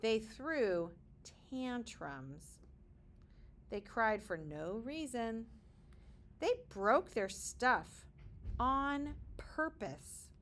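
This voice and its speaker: A woman with a slightly high voice reads a storybook aloud for small children. She takes her time, speaking very slowly and deliberately. Key words get dramatic emphasis, and she adds short asides to explain the pictures. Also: bright, forceful tone. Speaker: kindergarten teacher reading aloud. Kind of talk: expressive children's picture-book read-aloud.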